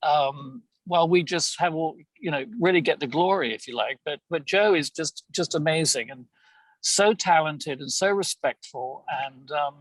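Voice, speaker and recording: A man's voice: a little high, moderate pace, no room sound, poor audio. A man chatting casually and effusively as an interview guest from inside a car, often repeating words as he goes. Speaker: lead voice actor of an audio theater show, podcast guest. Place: moving car, as a passenger.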